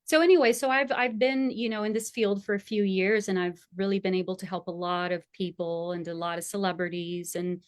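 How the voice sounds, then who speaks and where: A woman astrologer speaking in a conversational interview, enthusiastic and animated, laughing often as she talks. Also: mid-range voice, fairly quick, few pauses, no echo, clean studio sound. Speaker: astrologer and school founder.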